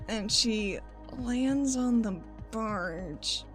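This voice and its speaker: A woman's voice: fairly high pitch, drawn-out words. A woman chatting informally and wryly as a player in a tabletop roleplaying game. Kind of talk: casual game chat with bits of roleplay. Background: background music.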